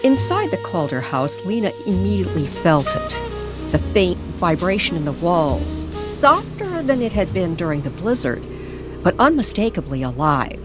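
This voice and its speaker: A woman delivers dramatic, old-time radio narration in a lower register. Her pace is slow and deliberate and her pitch swings widely for suspense, with music clearly playing behind her. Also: very animated. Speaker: storyteller.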